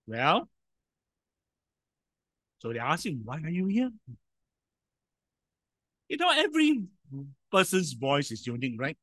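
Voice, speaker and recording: A man preaching a sermon in a loud voice, emphatic, with sudden swings in volume and frequent rhetorical questions. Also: on the high side, huge pitch swings, slow pace, pauses often, no room sound.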